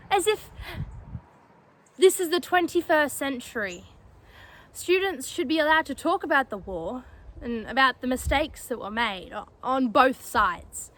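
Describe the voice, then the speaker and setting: A woman performs a young female space cadet with a high voice. She is defiant and grieving, speaking with bitter emotion in a dramatic sci-fi monologue, leaning on words and leaving pauses between them. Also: sharp tone, heavily acted. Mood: indignant.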